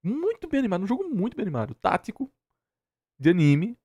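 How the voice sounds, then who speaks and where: A man's voice: high voice, huge pitch swings, fast talker, a little mellow, breathy edge, dry recording. A young Brazilian man giving casual, opinionated reaction commentary, argumentative and exasperated, hammering points home by repeating them. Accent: Brazilian Portuguese. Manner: exasperated, argumentative, emphatic repetition. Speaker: streamer reacting to a video.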